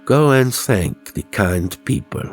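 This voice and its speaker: Man acting male stage ventriloquist, coaxing, commanding, slow measured speech, horror audiobook character. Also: on the low side, animated, faint music behind.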